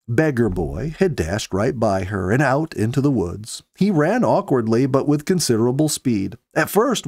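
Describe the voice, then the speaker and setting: A man in his fifties reading a story aloud in measured, earnest storybook narration, close to the microphone. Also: mid-range voice, darkish tone, no echo. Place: small indoor home study. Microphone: close studio microphone with pop filter.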